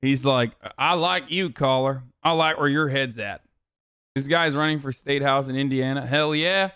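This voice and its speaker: A man's voice: mid-range voice, normal speed, pushed and bright, smooth, no echo, studio quality. A man doing sarcastic, incredulous live stream commentary, repeating himself for emphasis and swearing freely. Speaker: streamer reacting to a recorded call.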